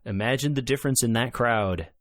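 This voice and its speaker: A man's voice: mid-range voice, a little fast, no room sound, studio quality. A man co-hosting a podcast in casual sports chat, talking in a loose, hesitant way. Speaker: podcast co-host.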